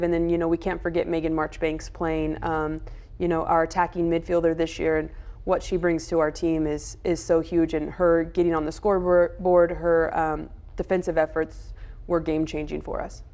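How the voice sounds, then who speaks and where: Female college soccer coach, American, lowish voice, recap interview delivery. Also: a little mellow.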